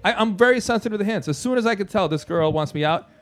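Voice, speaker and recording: A man hosting a podcast, firing off quick questions in casual chat and often restarting his words. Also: sits high, lively intonation, soft background music. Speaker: podcast host.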